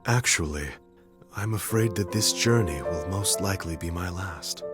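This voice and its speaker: A man voicing a gentle, courteous, melancholy traveler in a video game, polite and reflective. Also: sits low, moderate pace, loud music behind the voice, close to an everyday voice. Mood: wistful. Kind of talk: English video game dub acting.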